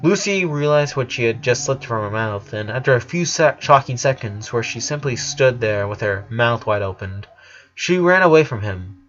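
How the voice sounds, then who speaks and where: A man's voice: medium pitch, expressive, moderate pace, fairly bright tone, highs cut off. A man reading a story aloud as narration, sometimes stumbling over his words and correcting himself.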